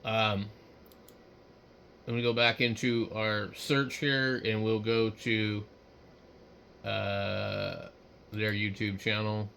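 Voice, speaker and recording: A man giving casual, unscripted commentary while working at a computer, speaking haltingly and trailing off as he thinks aloud. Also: on the low side, level tone, gravelly, pushed and bright, slight background noise. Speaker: hobbyist host.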